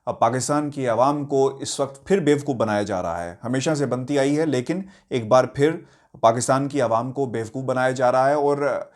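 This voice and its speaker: A Pakistani man speaks at a medium pitch as a news commentator. He addresses his viewers directly about politics, with deliberate emphasis. His delivery is halting, with hesitations between phrases.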